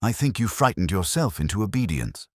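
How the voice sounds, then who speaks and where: A man acts a grave Victorian detective in an audio drama. He is calm, exact and commanding, with a husky voice that moves expressively up and down, in a very dry recording. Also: fairly low pitch, dry recording, pitched above the performer's own voice. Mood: calm authority. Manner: measured, quiet, incisive.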